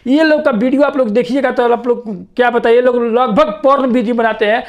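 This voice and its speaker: A man's voice: very high voice, steady tone, fairly quick, muffled recording. A man giving informal, opinionated news commentary to viewers in a repetitive, insistent way.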